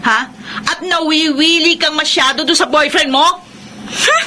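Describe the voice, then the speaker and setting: An actress with a Filipino accent playing a stern, dutiful elder sister in an emotional, confrontational radio drama. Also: female voice, high voice, fairly even intonation, measured pace, noisy background. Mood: angry, interrogating.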